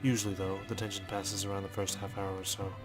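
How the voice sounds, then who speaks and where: A man reading visual novel text aloud as a let's player, in a measured, understated storytelling manner with brief asides. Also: sits low, monotone. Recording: music behind the voice. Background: game music.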